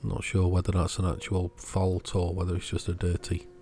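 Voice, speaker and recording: A man narrating a hands-on repair video in short, measured, understated phrases. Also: low voice, monotone, warm tone. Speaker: host of an electronics repair channel.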